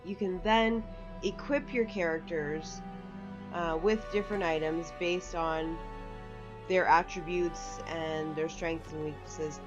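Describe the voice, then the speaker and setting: A woman's voice: lowish voice, steady tone, takes it slowly, highs cut off. A woman giving casual, chatty, rambling walkthrough commentary for a video game. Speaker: gaming video host. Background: faint game music.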